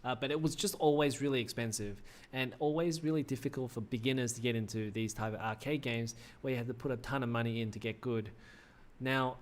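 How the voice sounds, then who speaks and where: Male streamer, 30s, mid-pitched, chatty and rambling, voice slightly worn. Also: steady tone, fairly quick. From Australia. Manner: rambling, self-correcting, frequent "uh" fillers. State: slightly losing his voice. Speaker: livestream host. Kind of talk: casual livestream commentary.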